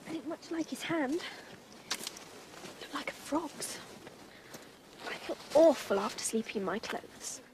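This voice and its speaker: A schoolgirl adventurer in an audio drama. The voice is high, earnest and practical, quick to exasperation. Noticeable outdoor ambience runs behind it. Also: expressive, noisy background. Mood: chatty, curious.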